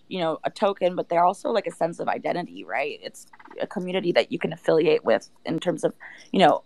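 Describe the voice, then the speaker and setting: A woman's voice: deep voice, vocal fry, a little mellow, dry recording, low-quality recording. A woman in a casual panel chat, explaining things conversationally with plenty of "like" and "you know". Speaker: project team member, guest.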